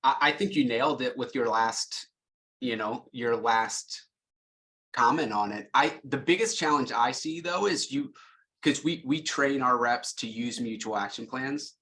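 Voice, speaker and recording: A man's voice: mid-range voice, a little slow, pushed and bright. A man speaking as a sales leader on a panel, plain-spoken and conversational, explaining through acted-out examples.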